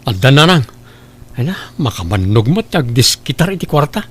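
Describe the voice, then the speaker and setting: A man's voice: medium pitch, very animated, normal speed, breathy edge. Man playing an eager young bridegroom, comedy drama. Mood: matter-of-fact. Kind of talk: Ilocano comedy radio-style drama acting.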